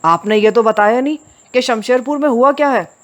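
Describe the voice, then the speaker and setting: A woman voicing an anxious village man who loudly defends his friend, in an audio story. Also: on the high side, fairly quick, pitched above the performer's own voice, natural voice. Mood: protesting. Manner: protesting, raised voice.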